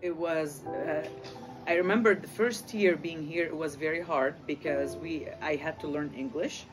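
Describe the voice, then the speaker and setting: A man from Lebanon whose first language is Arabic, telling his story of migrating in a halting way with many fillers. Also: fairly high pitch, fairly even intonation, low-quality recording. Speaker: interviewee. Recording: music playing under the voice.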